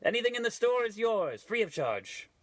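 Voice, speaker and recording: A man's voice: high voice, very animated, normal speed, no echo. A man playing effusively grateful, folksy townsfolk in a parody old-time radio play, earnest and admiring. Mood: gushing. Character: gushing, grateful townsfolk, sweetly admiring the crook.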